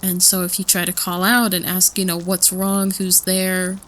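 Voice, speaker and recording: A woman's voice: on the low side, completely flat delivery, moderate pace, a bit bright, a little hiss or hum, lightly acted. A woman playing a nervous student who rambles through spooky folklore in a hesitant, casual way, repeating herself, in an audio roleplay. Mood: uneasy fascination. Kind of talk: casual campfire storytelling roleplay.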